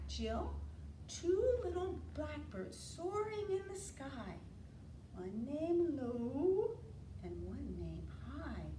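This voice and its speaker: An American woman leading an interactive storytime for preschoolers, asking simple questions and drawing out key words in an animated way. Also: high voice. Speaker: children's storytime librarian. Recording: roomy, distant mic, faint room noise.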